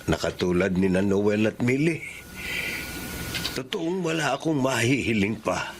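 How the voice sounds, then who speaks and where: An actor playing a grateful, loving middle-aged husband in a Filipino radio drama, speaking earnestly. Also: male voice, mid-range voice, animated, unhurried, very noisy. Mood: tender, grateful.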